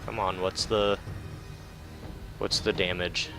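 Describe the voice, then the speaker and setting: A man's voice: sits low, flat delivery, takes it slowly, gravelly, over music. A man hosting a let's play, giving casual gameplay commentary.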